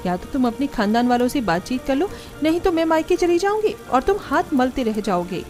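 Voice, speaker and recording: An exasperated young daughter-in-law played by a woman in a cartoon family story. She grumbles and snipes in a high voice, sarcastic and sharp-tongued. Faint music plays behind her. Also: very animated, a little fast. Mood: angry.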